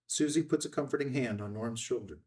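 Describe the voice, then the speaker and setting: Male narrator for a script reading, medium pitch, a little away from the mic. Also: brisk, darkish tone, recorded from a distance. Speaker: stage-direction narrator. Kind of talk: scripted narration, screenplay table read.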